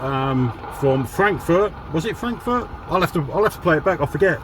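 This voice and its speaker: A man's voice: fairly high pitch, lively intonation, normal speed, noisy background. A man from southern England, a CB radio hobbyist, chatting casually with radio jargon and walking through a gear demo. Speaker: CB radio hobbyist and video host.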